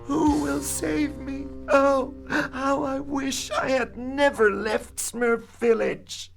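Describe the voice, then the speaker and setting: A woman voicing a small, timid male cartoon elf-like creature on a children's story record, high and creaky, pleading plaintively. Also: steady tone, slow, over music, heavily acted. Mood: frightened pleading. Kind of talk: children's read-along record acting.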